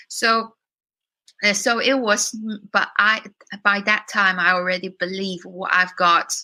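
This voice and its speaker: A woman in her thirties from China speaks with a Chinese accent carrying a bit of British English. Her voice is very bright and tense. She tells her story openly and reflectively, with frequent fillers and restarts. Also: medium pitch. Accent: Chinese with some British. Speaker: guest musician. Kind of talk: podcast interview.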